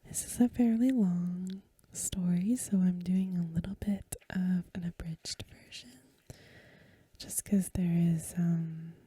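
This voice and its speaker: Breathy, very dark whispering ASMR woman. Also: on the low side, fairly even intonation, dry recording. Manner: gentle, unhurried, literary narration. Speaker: ASMR host reading a short story aloud. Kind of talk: soft-spoken ASMR bedtime story reading. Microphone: close microphone.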